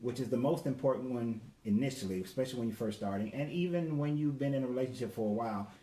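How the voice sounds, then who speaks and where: A man's voice: mid-range voice, quick pace, dark tone. A man in his forties co-hosting a casual live talk with his wife, laid-back and slangy, greeting viewers by name. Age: 40s. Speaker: co-host. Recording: echoey room with faint background noise.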